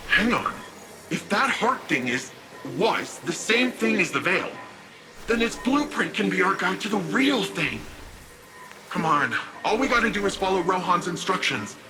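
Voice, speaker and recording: A brash young Cloudstrider. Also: male voice, sits high, sing-song, low-quality recording. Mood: defiant. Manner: upbeat, cocky, quick to joke. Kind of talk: video game voice acting. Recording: game audio with loud music under.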